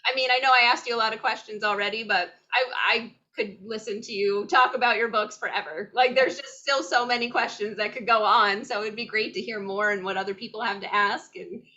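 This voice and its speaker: A woman's voice: fairly high pitch, fairly even intonation, brisk, hardly any pauses, sharp tone, dull audio. A woman hosting a book club, chatting casually with gushing enthusiasm. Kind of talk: casual chat, interviewing.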